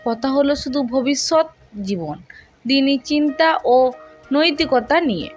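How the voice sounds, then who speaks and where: High-pitched woman narrating a romantic story. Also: measured pace, soft background music.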